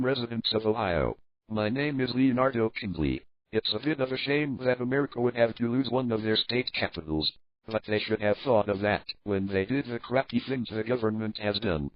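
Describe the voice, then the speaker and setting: Smug male hijacker villain, played by a man, mock-polite menace, mid pitch, muffled lo-fi recording. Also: normal speed, long pauses, no echo, close to an everyday voice. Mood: smug, taunting. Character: smug male train hijacker taunting the public. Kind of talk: villain's taped message in an alert scenario.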